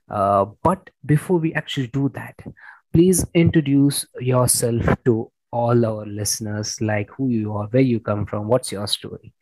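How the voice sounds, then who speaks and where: A man's voice: medium pitch, no echo, rough recording. A man with an Indian accent hosting an informal interview, often repeating his words and phrases. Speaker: podcast host, interviewer.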